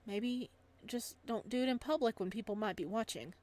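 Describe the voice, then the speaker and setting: A woman voicing a gentle, composed fisherman in a visual novel, speaking in a calm, reassuring way. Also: on the high side, moderate pace, natural voice. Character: gentle, composed fisherman, fond of his admirer. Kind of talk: visual novel dialogue read aloud.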